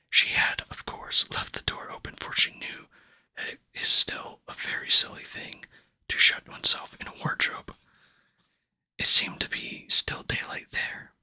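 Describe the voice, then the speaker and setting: A man whispering an ASMR reading of a children's fantasy novel, gentle and unhurried, with pauses between phrases, close to the microphone. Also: dry recording. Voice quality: breathy unvoiced whisper. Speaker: ASMR book reader.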